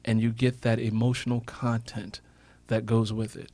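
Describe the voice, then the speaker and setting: A man's voice: mid-range voice, little pitch movement, moderate pace, a little mellow. A man speaking as a radio interview guest in a motivational style, emphatic and repeating phrases for effect. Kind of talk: motivational talk on a radio show.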